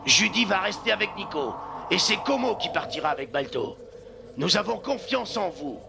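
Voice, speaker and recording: Creaky-voiced, grave village man. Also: sits high, sing-song, normal speed, background noise, exaggerated voice. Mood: solemn. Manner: solemn, instructing. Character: grave, trusting village man sending off sled dogs. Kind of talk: French-dubbed cartoon acting. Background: music.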